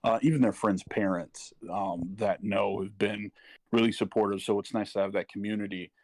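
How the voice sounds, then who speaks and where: Gravelly, halting, earnest man. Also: fairly low pitch, fairly even intonation, a little mellow, dry recording. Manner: halting, earnest, searching for words. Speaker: parent speaking as a panel guest. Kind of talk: personal testimony in casual talk.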